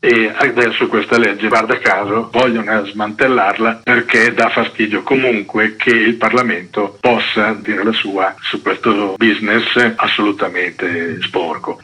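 Assertive man, low bright husky voice. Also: rough recording. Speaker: interviewed activist and book editor. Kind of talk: radio interview, explanatory political commentary.

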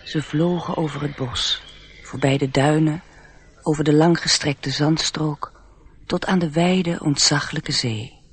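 A man's voice: fairly high pitch, fairly even intonation, measured pace, slight background noise, natural voice. A man narrating a radio play in a scripted, literary storytelling style.

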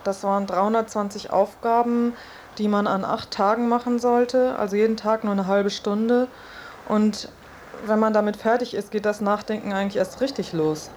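A woman's voice: mid-range voice, fairly even intonation, moderate pace, a little mellow, a little hiss or hum. A woman giving a first-person account for radio as a test subject. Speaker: test subject reporting her results.

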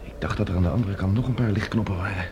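An actor playing a tense, defiant professor in a radio drama, speaking in a guarded, terse way. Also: male voice, low-pitched, very fast, heavy background noise, natural voice. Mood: hushed, tense. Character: tense but defiant male professor leading infiltrators.

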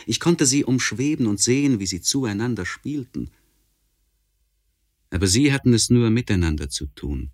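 An actor playing a tormented, learned gentleman in a literary radio monologue, speaking formally and deliberately in elevated, precise language. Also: mid-range voice, expressive, no echo, studio quality, lightly acted. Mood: melancholy, lonely. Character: anguished, learned gentleman writing a confessional letter, tormented and precise.

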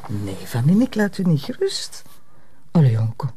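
An actress playing an exasperated but affectionate wife who nags and coaxes in a Flemish accent, in a drama. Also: female voice, low-pitched, huge pitch swings, normal speed, background noise. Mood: teasing, nagging. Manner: nagging, coaxing. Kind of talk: naturalistic Flemish radio or TV drama acting.

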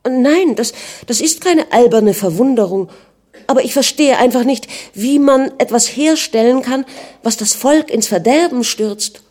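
A woman reading aloud and voicing a young princess who pleads in a high, strained voice, jealous and close to tears. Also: very animated, moderate pace, hardly any pauses. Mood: upset, pleading.

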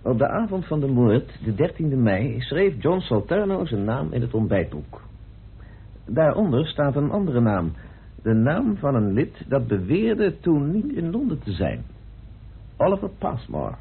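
A methodical detective confronting his suspects in a radio drama. His very husky voice moves freely in pitch and presses on insistently with deliberate pauses, on a muffled, phone-like old recording. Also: big pitch swings, exaggerated voice. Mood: methodical, pressing. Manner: deliberate, accusing, theatrical pauses. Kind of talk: radio play acting in Dutch.

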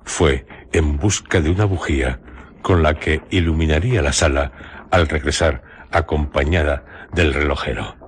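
A man narrating a radio drama in measured, deliberate phrases. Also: extremely low pitch, breathy edge.

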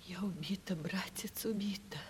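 An actress playing a meek, heartbroken young woman in a theatrical Russian radio drama, breathy and whispering. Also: medium pitch, moderate pace, close to an everyday voice. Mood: crushed, resigned. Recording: 1970s radio play, faint background noise.

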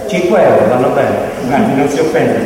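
A man's voice: mid-range voice, lively intonation, brisk, natural voice. An actor playing a shrewd, jobless man who wheedles and haggles insistently in a radio theatre piece. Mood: wheedling. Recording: noisy, echoey hall, far from mic.

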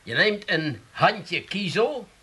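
An actor playing a vain, middle-aged Amsterdam would-be thespian in a comic radio play, pompous and declamatory, quick to take offence. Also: male voice, high voice, big pitch swings, sharp tone, breathy edge, heavily acted. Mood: eager, self-important. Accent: Amsterdam Dutch.

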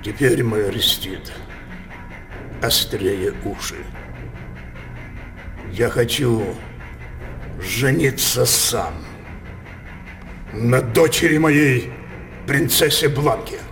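A slow, scheming king over music. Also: mid-range voice. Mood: obsessed, insistent. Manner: declamatory, teasing, imperious, deliberate pauses. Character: scheming, depraved king with a sinful obsession. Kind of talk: theatrical Russian radio drama in verse. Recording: studio radio play over loud music.

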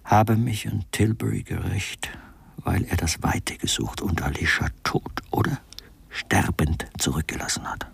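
Radio crime drama: controlled murderer, mocking, methodical, insinuating murmur, very low creaky register. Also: male voice, dry recording, whispered, full character voice. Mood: murmured, calculating. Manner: deliberate, insinuating, often dropping to a murmur. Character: coldly methodical murderer, American writer husband, mocking and controlled. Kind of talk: German radio crime drama acting with interior monologue.

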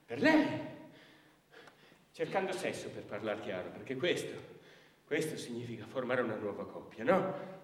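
In an echoey hall, an aggrieved, abusive husband in a theatre monologue. His highish voice swings widely as he justifies himself, insistent and repetitive, dropping to mutters and bursting out again. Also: fairly bright tone, exaggerated voice. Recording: echoey hall from far off, faint noise.

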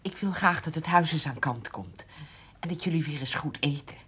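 A homely old farm mother in a radio play. Her voice is low and very raspy. She speaks plainly and directly, in a motherly way. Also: moderate pace, fairly bright tone, exaggerated voice. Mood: concerned. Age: older. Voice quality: husky, raspy. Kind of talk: naturalistic radio drama.

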